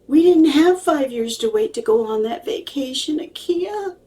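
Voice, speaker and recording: A woman's voice: sits high, animated, a little slow, mellow, recorded from a distance, voice pushed up. A woman voicing a grieving widowed husband in a personal story, tearful and pleading, repeating himself. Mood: fond, heartbroken. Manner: pleading, repetitive, breaking into whispers.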